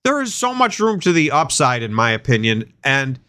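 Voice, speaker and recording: A man's voice: mid-range voice, sing-song, moderate pace, pushed and bright, smooth, dry recording. A man hosting an investing show, congested from a sinus infection, rambling and often repeating words as he thinks aloud. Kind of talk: casual investing chat.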